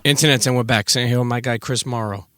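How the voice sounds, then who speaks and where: A man's voice: mid-range voice, steady tone, fast, heavy background noise. A man hosting a casual interview podcast, colloquial and talking straight to his listeners. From Brooklyn, New York. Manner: colloquial, addresses listeners directly. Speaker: podcast host.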